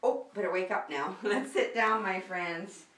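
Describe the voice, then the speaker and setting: Female preschool circle-time teacher, bright and encouraging, simple repetitive phrasing, roomy distant-mic sound. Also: mid-range voice, unhurried, a little hiss or hum. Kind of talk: preschool lesson addressed to young children. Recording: roomy echo, distant microphone.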